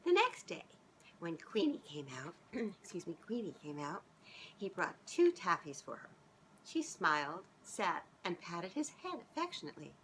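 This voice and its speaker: A middle-aged woman reading a children's storybook aloud in an expressive, sing-song way, as if speaking directly to young listeners. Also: fairly low pitch, moderate pace, a little hiss or hum, poor audio. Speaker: children's author reading her own book aloud. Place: small living room, on a couch indoors.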